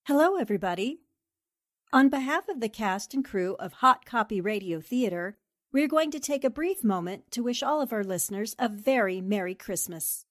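Woman presenter with a slightly high voice. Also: animated, moderate pace, dry recording. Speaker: radio drama cast member and presenter. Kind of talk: scripted holiday greeting and essay reading.

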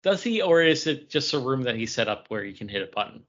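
A man's voice: mid-range voice, big pitch swings, quick pace, a bit bright, muffled recording. A man co-hosting a podcast, chatting casually about comic books in a joking, self-deprecating way. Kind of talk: casual comic book review chat.

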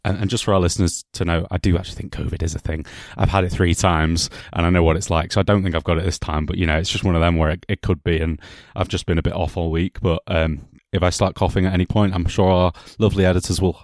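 Host: low man, chatty and jokey, slight cough, dry sound. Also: fairly even intonation, fast, hardly any pauses, a little mellow, no echo. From England. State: has a cough. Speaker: podcast host. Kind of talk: casual football podcast chat.

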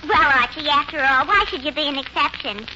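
An actress playing the plain, tart and gullible daughter of a tavern owner in 1940s radio comedy style. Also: female voice, high voice, expressive, fairly quick. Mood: coy, hopeful. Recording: muffled, noisy 1940s radio recording.

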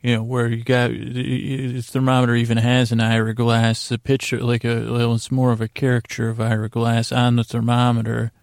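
A man hosting a sleep podcast in a soothing, rambling bedtime monologue, hesitant and meandering, with long pauses and stammered restarts and a gravelly voice. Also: mid-range voice, completely flat delivery, dry recording. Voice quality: creaky, gravelly. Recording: faint music under a dry voice.

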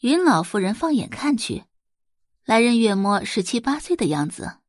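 A woman's voice: on the high side, sing-song, moderate pace, no room sound, clean studio sound. A woman narrating a scripted audio drama as a storyteller, in a dramatic reading style.

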